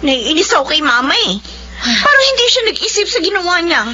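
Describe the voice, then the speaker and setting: A woman's voice: extremely high pitch, sing-song, brisk, pushed and bright, heavily acted. A woman with a Filipino accent playing an indignant, protective young daughter in a melodramatic radio drama. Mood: resentful. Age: young adult. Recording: dull lo-fi audio with hum.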